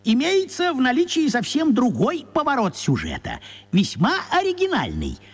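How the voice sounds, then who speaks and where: A fussy, excitable man in a radio comedy for children. He is high and husky. He talks in flustered, stammering bursts, eager to push his idea. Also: normal speed, big-room sound, exaggerated voice. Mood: insistent.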